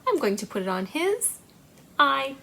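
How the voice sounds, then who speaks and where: A woman hosting a storytime for young children, speaking in a deliberate, singsong way. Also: high voice, big pitch swings, gravelly, bright, forceful tone, slight background noise. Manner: singsong, deliberate, repetitive phrasing for small children. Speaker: children's library storytime host. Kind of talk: storytime read-aloud and simple activity for young children.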